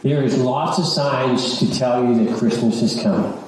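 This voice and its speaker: A middle-aged or older man addressing a church congregation as a lay leader, halting and repeating words. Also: mid-range voice, darkish tone. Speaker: church lay leader and search committee chair. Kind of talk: church announcement and short devotional to a congregation. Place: large church sanctuary, indoors. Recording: echoey church, distant microphone, background noise.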